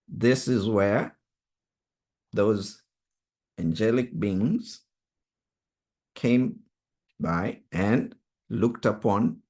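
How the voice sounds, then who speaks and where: A man whose first language is Swahili leads an online Bible study over a video call, explaining earnestly and emphatically. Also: fairly low pitch. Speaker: study group leader.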